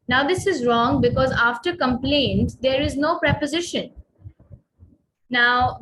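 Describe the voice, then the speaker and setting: A young woman with a Pakistani accent, a native Urdu speaker, giving a measured grammar presentation with frequent pauses. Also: high-pitched, fairly bright tone, a little hiss or hum, low-quality recording. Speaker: student presenter.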